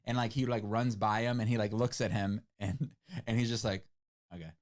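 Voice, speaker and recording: A man's voice: on the low side, normal speed. A man who speaks English as a second language, co-hosting a book club livestream and chatting casually with many "like" fillers. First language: not English. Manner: rambling, many "like" fillers, animated retelling. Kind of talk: casual chat.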